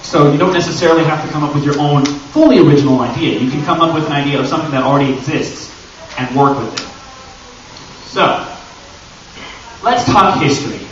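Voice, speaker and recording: A man presents informally to a room in a slightly high voice, joking and posing rhetorical questions with a theatrical flair. He is heard from far off in a very echoey space, somewhat muffled. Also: brisk, pauses often, slight background noise. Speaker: convention panel presenter. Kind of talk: informal live presentation to an audience. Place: large echoey indoor panel room.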